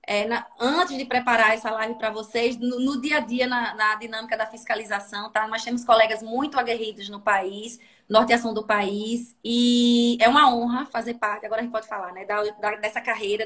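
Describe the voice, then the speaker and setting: A woman with a Sergipe accent teaches in an animated, instructive way, often pausing to check that her listeners are following. Her voice is bright and sits fairly high. She speaks as a guest labor inspector on a livestream. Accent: Sergipe, northeastern Brazilian. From Sergipe, Brazil.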